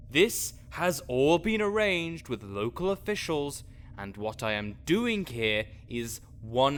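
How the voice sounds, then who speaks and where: A man's voice: medium pitch, fairly bright tone, slight background noise. A man playing a grouchy, fussy necromancer researcher in an audio roleplay, sarcastic and wordy as he speaks to the listener.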